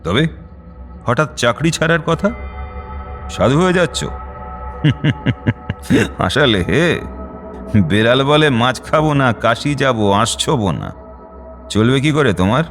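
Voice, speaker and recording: A man's voice: mid-range voice, expressive, unhurried, long pauses, fairly bright tone, soft background music. A man playing a domineering, menacing contractor boss in an audio drama, sarcastic and commanding. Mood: mocking, suspicious. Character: domineering male contractor boss, threatening and sneering.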